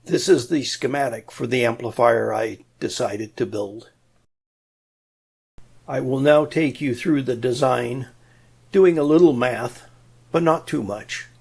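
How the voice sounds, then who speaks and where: A man's voice: mid-range voice, measured pace, gravelly, pauses often, a little mellow, breathy edge. A man giving an explanatory technical narration in a methodical, plain-spoken way with a touch of dry humour. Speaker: presenter of an electronics tutorial.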